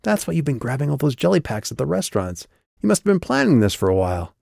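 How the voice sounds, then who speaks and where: A man's voice: on the low side, wildly swooping pitch, very fast, gravelly, a little mellow, dry recording, full character voice. Man voicing a sarcastic young woman, blunt, casual, feisty, dry close recording, fantasy audiobook. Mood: incredulous.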